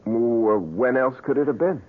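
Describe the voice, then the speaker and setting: An actor playing an eager, stubborn photographer in an old-time radio drama, speaking in a halting, insistent way. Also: male voice, medium pitch, close to an everyday voice. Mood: puzzled, insistent. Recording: dull lo-fi radio broadcast recording.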